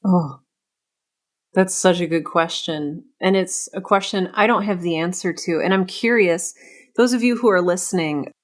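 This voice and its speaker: A woman's voice: on the low side, normal speed. A woman hosting a conversational interview, speaking in a reflective way and posing open questions. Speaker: podcast host and interviewer.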